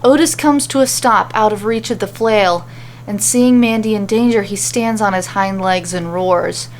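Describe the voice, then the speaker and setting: A woman's voice: mid-range voice, moderate pace. Woman narrating a fantasy story, noisy recording. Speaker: narrator and author reading a story.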